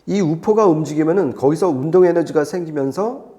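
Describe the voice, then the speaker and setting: A man with a fairly high voice and a mellow, dark tone. He presents as a hi-fi expert in a conversational teaching style, laying things out step by step and restating key points. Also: brisk. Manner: didactic, explains step by step with repetition. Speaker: hi-fi expert presenter explaining audio setups. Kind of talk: conversational explainer.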